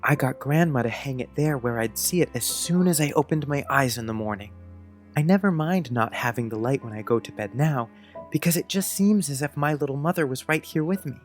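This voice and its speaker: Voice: mid-range voice, animated, a little fast, lightly acted. An actor playing an earnest, precocious young schoolboy who chats dreamily in quaint grown-up phrases, in a dramatized audiobook. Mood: tender pride. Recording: music under the voice.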